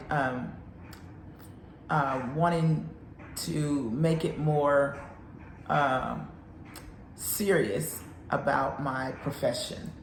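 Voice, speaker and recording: A woman's voice: sits low, roomy sound, slight background noise. A woman in her fifties, a counselor, giving an earnest, encouraging faith talk. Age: 50s.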